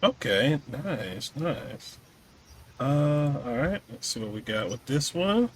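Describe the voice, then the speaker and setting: A man's voice: mid-range voice, big pitch swings, takes it slowly, slight background noise. A man hosting a casual podcast interview in an easygoing conversational style. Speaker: podcast host.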